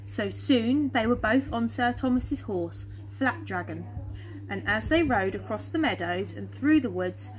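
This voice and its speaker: A woman's voice: on the high side, animated, unhurried, faint music behind, poor audio. A woman in her thirties with an English accent, reading a children's picture book aloud. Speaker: storyteller reading to schoolchildren. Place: small fenced garden, outdoors. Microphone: camera or phone a short distance away. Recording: outdoor phone recording with background hum.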